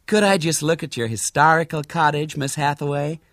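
A man voicing an excitable, eager young American lady tourist in a storybook reading. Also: high voice, fairly quick, no room sound, studio quality, voice pushed up, heavily acted. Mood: enraptured. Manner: gushing, eager, wide-eyed. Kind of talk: storybook character voice.

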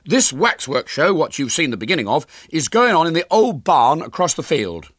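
A man acts out an earnest storybook schoolboy, pitched well up and loud, with a husky edge. He declaims with great dignity and stern indignation, his pitch swinging widely. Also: sing-song, fairly quick, no room sound, studio quality. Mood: aggrieved, dignified. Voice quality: hoarse when shouting.

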